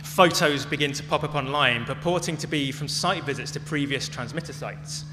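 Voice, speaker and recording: A man giving a prepared public talk as a speaker, telling stories in a measured, dramatic way. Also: on the high side. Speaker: conference speaker. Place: large hall, talk to an audience. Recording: echoey hall with music behind.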